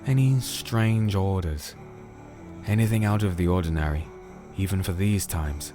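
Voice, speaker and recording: A man playing a calm, firm crusader in a fantasy audio drama, narrating and speaking in a measured, solemn way. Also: low-pitched, music in the background. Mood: calm, probing. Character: disenchanted male crusader, calm, firm sword-bearing investigator. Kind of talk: audio drama dialogue and first-person narration.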